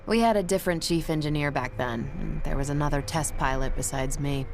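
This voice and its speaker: An actress playing a brash, loyal ace test pilot in a video game, speaking in a casual, confident, plain-spoken way. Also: female voice, low voice, fairly quick, background noise. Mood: nostalgic. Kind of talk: dramatic video game cutscene acting.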